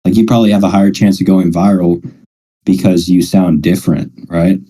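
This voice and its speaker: A man speaks in a low, very dark and relaxed voice. His delivery is casual and conversational, reassuring the listener and often ending on a short tag question. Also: fairly quick. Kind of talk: casual chat.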